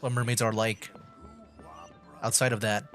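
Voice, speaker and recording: A man's voice: lowish voice, little pitch movement, fairly bright tone, soft background music. Rambling male gamer, casual stream commentary. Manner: rambling, halting, filler-heavy. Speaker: livestreaming gamer.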